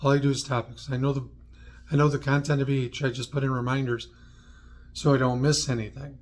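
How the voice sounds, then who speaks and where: An American man from New York speaks as the presenter of an instructional video. His voice sits at a medium pitch. He talks conversationally in short, clipped phrases, dropping in dry asides as he explains. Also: flat delivery, a little fast. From New York, United States. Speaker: presenter of a how-to video series on public speaking. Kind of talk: instructional talk to camera.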